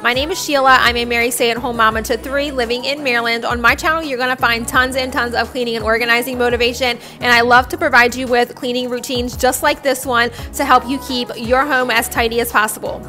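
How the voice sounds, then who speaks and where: Upbeat high-voiced young mom vlogger. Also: female voice, flat delivery, fairly quick, few pauses, pushed and bright. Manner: upbeat, chatty, friendly. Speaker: cleaning vlogger, stay-at-home mom. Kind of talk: voice-over cleaning narration. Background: faint background music.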